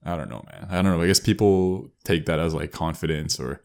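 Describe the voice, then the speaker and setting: A man's voice: sits low, fairly quick, vocal fry, darkish tone. A young man chatting casually as a podcast co-host, slangy and filler-heavy. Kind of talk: casual chat.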